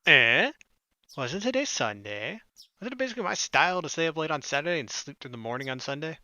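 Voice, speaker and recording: Bright, animated man reading a game aloud. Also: on the high side, brisk, no room sound, clean studio sound. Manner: theatrical reading with joking asides. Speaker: let's play host. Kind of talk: visual novel read-aloud with casual commentary.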